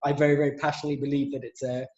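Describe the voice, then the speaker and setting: A man speaks quickly in a level, even tone as an interview guest, full of fillers and restarts. His voice comes through a roomy space. The sound is dull and lo-fi, like a video call. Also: mid-range voice. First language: English. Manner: fast, fluent, many fillers and restarts. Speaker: interview guest, LGBT tech group director and lawyer. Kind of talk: conversational interview.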